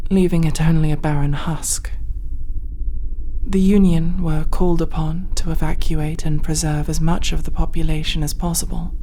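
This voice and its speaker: Woman acting a slow, low-voiced, formal alien woman. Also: dark tone, slight background noise. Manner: measured, formal, precise diction with pauses. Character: reserved, formal female alien, last of a telepathic race. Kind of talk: ASMR roleplay, soft scripted acting.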